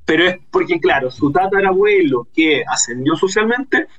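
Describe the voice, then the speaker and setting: A man's voice: fairly high pitch, expressive, normal speed, a bit bright, muffled recording. A man with a Chilean accent, explaining things conversationally as an academic guest in a radio interview.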